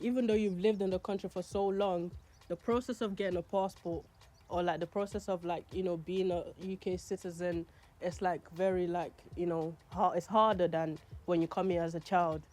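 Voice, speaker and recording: A young woman from Nigeria, talking in a documentary interview, telling stories casually and acting out what people said. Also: fairly low pitch. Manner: animated, acts out quoted speech. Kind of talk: casual storytelling to camera.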